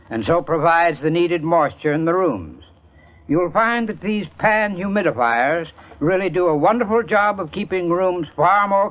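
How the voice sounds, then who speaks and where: A man reading a scripted 1940s radio commercial as an expert spokesman, folksy and instructive, addressing listeners directly. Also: fairly high pitch. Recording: muffled old radio broadcast.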